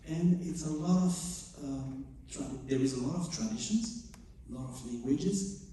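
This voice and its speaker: A choreographer from Morocco, answering audience questions after a show and searching for English words. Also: male voice, a little high, measured pace, mellow, recorded from a distance. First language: not English. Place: theater stage. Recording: echoey theater heard from afar.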